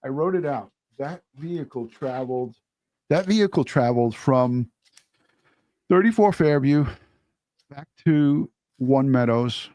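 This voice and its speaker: A man's voice: medium pitch, warm tone, no echo. A man co-hosting a livestream, reading out viewer messages and super chats in a casual, blunt way and swearing freely. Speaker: livestream co-host.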